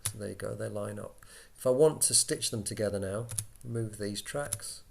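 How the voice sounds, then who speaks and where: A man talking through an informal software tutorial, casual and a little hesitant as he thinks aloud into a laptop microphone. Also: on the low side, moderate pace, vocal fry. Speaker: tutorial presenter for radio volunteers. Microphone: built-in laptop microphone. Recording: laptop mic, background noise, key clicks.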